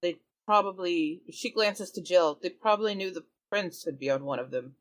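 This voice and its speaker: A woman's voice: fairly low pitch, moderate pace. A woman playing a tabletop game, talking in character and describing what her character does. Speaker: player.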